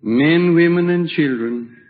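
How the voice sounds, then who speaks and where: An actor playing a kindly, serene old widowed hermit in a slow, solemn radio drama style. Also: male voice, fairly high pitch. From Switzerland (the figure). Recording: dull vintage radio recording.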